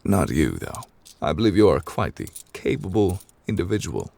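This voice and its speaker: A man's voice: very animated, normal speed, darkish tone, full character voice. A man voicing a courteous, absent-minded ancient god in human form for an ASMR roleplay, speaking formally and wordily with polite apologies. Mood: explaining, apologetic. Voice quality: very low, creaky. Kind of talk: ASMR character roleplay, improvised.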